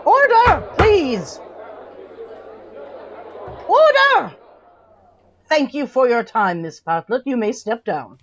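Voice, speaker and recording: A woman's voice: sits high, very animated, takes it slowly, long pauses, muffled recording, a crowd in the background, background noise, full character voice. A woman playing a stern, formal official who questions witnesses at an inquest in an audio drama. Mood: commanding. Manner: formal, courtly, commanding.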